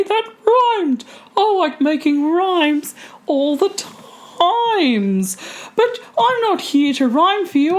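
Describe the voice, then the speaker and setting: Super high australian caribou voice, slow, bouncy, rhymey. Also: female voice, exaggerated voice. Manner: sing-song, slow and cheery. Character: whimsical rhyming female caribou. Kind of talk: comic sponsor read.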